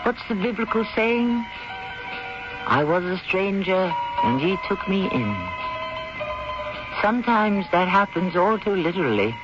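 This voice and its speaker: A man hosting a radio mystery series, delivering theatrical scripted narration in a wry, measured, philosophical manner. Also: high-pitched, lively intonation. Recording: dull lo-fi radio broadcast, music.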